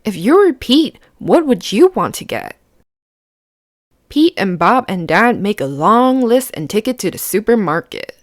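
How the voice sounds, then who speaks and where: A woman's voice: medium pitch, sing-song, a little slow, dry recording, clean studio sound. A woman reading a picture book aloud to children, explaining simply and putting questions to the listener. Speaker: children's read-aloud host.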